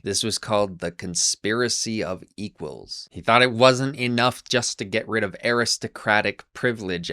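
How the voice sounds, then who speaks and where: A man's voice: lowish voice, fairly bright tone. A man hosting a history channel, explaining events in a conversational lecture with fillers and restarts.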